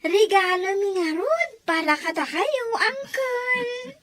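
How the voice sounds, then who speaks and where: A woman's voice: extremely high pitch, animated, takes it slowly, background noise, heavily acted. A woman playing a cheerful, affectionate young grandchild in an audio story drama. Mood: excited, affectionate. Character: cheerful, grateful young grandchild celebrating an uncle's birthday. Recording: music under the voice.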